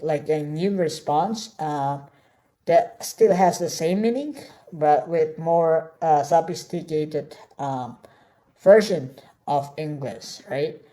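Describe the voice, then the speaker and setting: Slow, low-voiced woman instructor. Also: warm tone. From Indonesia. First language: Indonesian. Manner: casual, many fillers and "all right?" check-ins. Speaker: online course instructor. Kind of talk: screen-share tutorial.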